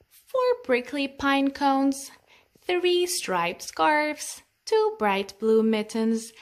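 A woman reading a picture book aloud for children in a sing-song way, with chatty asides to young listeners. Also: sits high. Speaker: children's storytime host.

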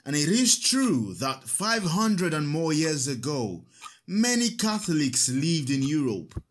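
A kind scholarly priest in an audio drama, slow patient teacher, really expressive pitch. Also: male voice, heavily acted. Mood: patient teaching. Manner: patient, explanatory, measured.

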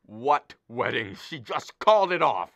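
A man acting an exasperated middle-aged father in a radio play, loud, sarcastic and hectoring. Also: medium pitch, big pitch swings, measured pace, vocal fry, dry recording, clean studio sound, full character voice. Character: exasperated, blustering middle-aged father on his daughter's wedding day.

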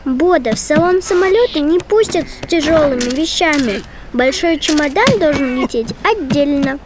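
A woman's voice: high voice, animated, normal speed, background noise. A woman voicing a little childlike creature, a sensible and pleading pupil in a children's cartoon. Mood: sensible, concerned.

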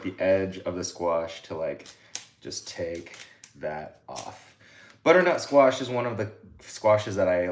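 A man's voice: sits low, slight background noise. A man in his thirties hosting a casual cooking livestream from his home kitchen, talking in a loose, rambling way with many fillers. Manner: relaxed, rambling, lots of "like" and "um". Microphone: camera set a few feet away.

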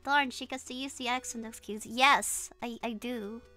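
A young woman with a non-native English accent, talking high and bright over soft game music. She chats jumpily with her viewers, bursting into shrieks and giggles when scared. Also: fairly even intonation, moderate pace. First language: not English. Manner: chatty and jumpy, screams and giggles when startled. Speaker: virtual streamer. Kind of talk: live gameplay commentary reading chat.

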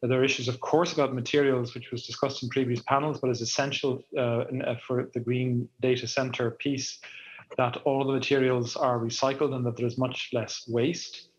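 Man, middle-aged, husky, measured panel answer, muffled video call sound. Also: mid-range voice, level tone. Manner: measured, with restarts and fillers. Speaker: panelist, EU official.

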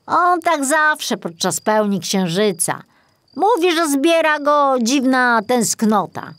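A protective little forest gnome from a children's tale, put on by a woman. He sounds fussy and knowing, pitched high, with animated swoops in his speech. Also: slow pace, no room sound, full character voice. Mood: reassuring.

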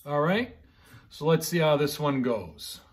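A man's voice: on the high side, lively intonation, unhurried. A middle-aged American man, a pastor chatting casually and reading children's bedtime stories expressively. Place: living room at home, indoors.

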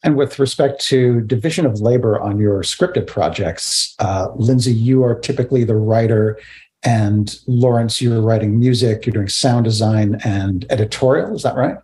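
Brief: middle-aged male interviewer, fairly low pitch, measured and formal phrasing, video call. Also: warm tone.

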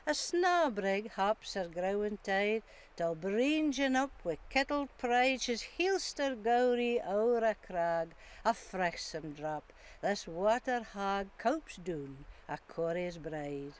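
A woman of middle age recites verse outdoors. She takes each line slowly and deliberately, at a mid pitch. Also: animated. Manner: slow measured reciting. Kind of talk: poetry recitation. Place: outdoors by a wall.

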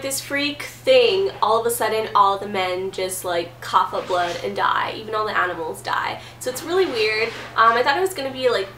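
A woman's voice: medium pitch, pushed and bright. A young woman in her twenties with a stuffy cold, chatting casually about books from her bedroom, with lots of "like" and "um". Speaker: book vlogger. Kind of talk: casual chat. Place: bedroom, indoors. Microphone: camera mic at a distance. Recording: roomy, a bit distant, faint hiss.

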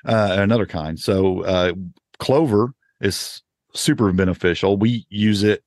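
Low-voiced man co-hosting a podcast. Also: measured pace, a little mellow, no echo, clean studio sound. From Indiana. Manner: rambling, repeats himself, many restarts. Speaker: podcast co-host. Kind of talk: casual gardening chat.

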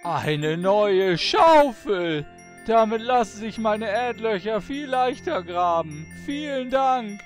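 Grateful fox for a children's Christmas story, male actor, high voice, drawn-out words, chimes behind. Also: lively intonation, faint music behind. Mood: delighted. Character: grateful, delighted little fox. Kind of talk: children's audio play.

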